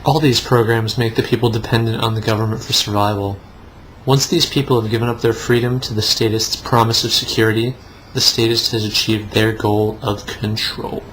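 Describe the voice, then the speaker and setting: A man's voice: lowish voice, steady tone, moderate pace, fairly bright tone, low-quality recording. A man co-hosting a mock-dramatic reading mixed with casual banter, with a sarcastic manner. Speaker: co-host. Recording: faint background noise.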